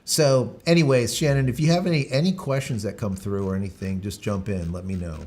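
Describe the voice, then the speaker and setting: A man's voice: lowish voice, steady tone, fairly quick, a little mellow. A man hosting a live stream, chatting casually, rambling, with many fillers and restarts. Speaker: livestream host.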